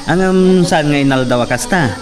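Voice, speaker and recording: A man's voice: a little high, moderate pace, lightly acted. A man playing a coaxing city coworker. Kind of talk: amateur audio drama acting. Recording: distant mic with background noise.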